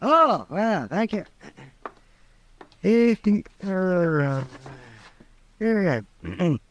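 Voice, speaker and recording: An actor playing a crotchety, blustery older town doctor who grumbles and teases in a radio western. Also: male voice, sits high, big pitch swings, very slow, full character voice. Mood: blustery, hearty. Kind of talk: 1950s radio western acting.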